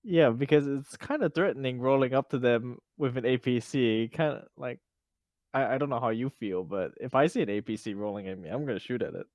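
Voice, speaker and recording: Brief: male tabletop gamer, medium-pitched voice, casual conversational delivery, dry close recording. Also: a little fast, darkish tone, dry recording. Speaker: tabletop RPG player. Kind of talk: casual game-table chat.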